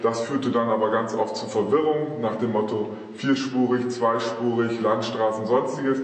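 A reverberant meeting room surrounds a middle-aged man with a medium-pitched voice. He explains rules in the manner of a practical demonstration, and the room echoes around him. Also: fairly even intonation, normal speed. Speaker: emergency service chief explaining rules.

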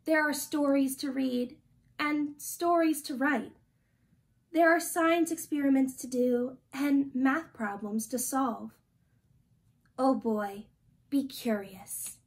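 A woman in her twenties reading a children's picture book aloud with deliberate, gently expressive phrasing. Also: sits high. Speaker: storytime reader. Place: small indoor room.

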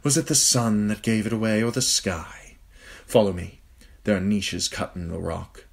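A man's voice: lowish voice, moderate pace, vocal fry, pitched above the performer's own voice. A man voicing a sly, glib male nobleman who teases in a sardonic way. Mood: mocking. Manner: teasing, sardonic.

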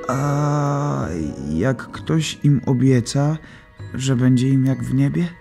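An actor playing a dazed, lovestruck wounded young soldier in a radio play, halting, naive and dreamy. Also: male voice, mid-range voice, fairly even intonation, vocal fry, warm tone, faint music behind. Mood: shy, hopeful. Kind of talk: children's radio play acting.

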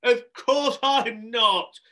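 A man's voice: on the high side, expressive, normal speed, a bit bright, voice pushed up. A man voicing an exasperated woman who entertains at children's parties, firm, insistent and easily flustered, in a storybook reading. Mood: cross, exasperated.

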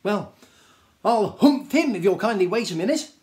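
Male reader as magical desert spirit, storybook, high-pitched, theatrical, stern, scolding, very animated. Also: moderate pace. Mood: brisk, surprised. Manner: emphatic, theatrical, admonishing.

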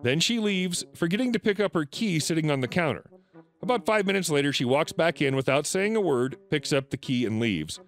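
Guy reading reddit stories, voice bouncing all over, bit high, faint music behind. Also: a little fast. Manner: lively, animated reading with shifting pitch for quoted customers. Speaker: story narrator.

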